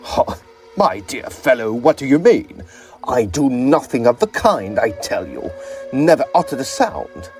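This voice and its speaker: A man's voice: medium pitch, very animated, unhurried, darkish tone, faint music behind. An actor playing a suave, ingratiating and unnerving male student in a period audio drama, given to inflated, grandiose talk. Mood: defensive.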